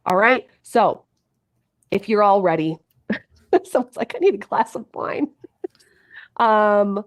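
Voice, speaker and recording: A woman's voice: mid-range voice, slow. Woman, head cold, upbeat motivational coach, webinar talk. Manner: upbeat, coaching, addresses her audience.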